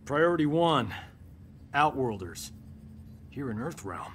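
Flippant veteran action hero for a game: mid-pitched, animated, always wisecracking. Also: male voice, takes it slowly, a little hiss or hum. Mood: teasing, then brisk. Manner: flippant, breezy. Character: cocky, wisecracking middle-aged male action-hero mentor. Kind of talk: video game cutscene acting.

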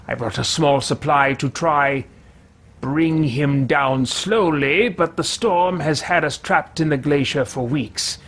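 A man's voice: fairly high pitch, fairly bright tone, heavily acted. A man in his thirties roleplaying a formal, meticulous, irritable wizard adventurer in a video game, with stilted theatrical speech. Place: small room at home with shelves.

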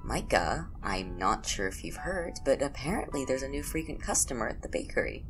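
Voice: medium pitch, sing-song, moderate pace. An actor playing a teasing, easygoing young friend in audio drama dialogue, with dry, playful banter. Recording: music under the voice.

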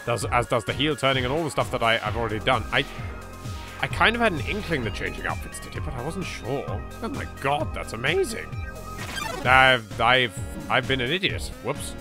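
A man with a British accent giving casual, excitable gameplay commentary, self-deprecating and repeating himself. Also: medium pitch, normal speed. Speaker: let's play host. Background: game music.

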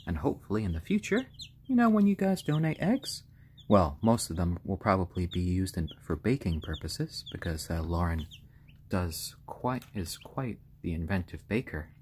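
A man with a fairly low, slightly hoarse voice chats casually on a home live stream. His pitch moves around a great deal as he drifts between halting explanation and gentle pet talk. There is clear noise behind him, with birds sounding. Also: big pitch swings. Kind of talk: casual chat on a live stream, with cooing to pets. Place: room in a home, indoors.

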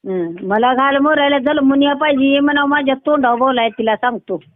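Older female prank-call target, rural Maharashtrian accent, earthy and sharp-tongued, heard through a thin phone line. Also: sits high, brisk, dry recording. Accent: rural Maharashtrian Marathi. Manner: blunt, earthy, curses freely. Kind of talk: casual phone chat. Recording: tinny, phone-like band-limited sound.

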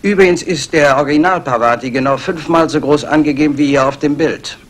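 An actor playing a diligent police assistant, precise and matter-of-fact, in a 1960s crime radio play. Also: male voice, fairly high pitch, a little fast, lightly acted. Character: diligent male police assistant reporting findings. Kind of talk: 1960s German radio crime drama acting.

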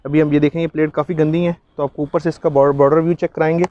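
A man's voice: on the high side, level tone, rapid-fire, a little mellow, highs cut off. A man with a Pakistani accent giving an instructional live product demonstration outdoors, explaining as he goes. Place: outdoors, on a street and a rooftop.

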